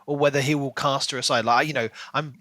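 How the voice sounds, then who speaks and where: A man's voice: mid-range voice, steady tone, fast, bright, forceful tone, no echo. A man co-hosting a podcast, talking casually through a book and acting out imagined bits of dialogue as he explains. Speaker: podcast co-host.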